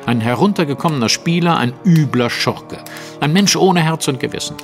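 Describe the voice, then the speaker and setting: A self-assured Victorian master detective in a radio play. His delivery is lively and precise, with great authority. Long reverb surrounds the voice and music plays behind it. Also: a little high, normal speed. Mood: grave. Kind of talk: German audio drama acting.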